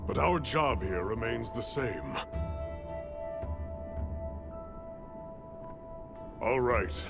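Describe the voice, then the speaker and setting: A man playing a composed, scholarly expedition leader in a video game, speaking in a formal, measured and authoritative manner. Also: mid-range voice, lively intonation, gravelly, over loud music. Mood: resolute. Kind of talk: video game cutscene acting.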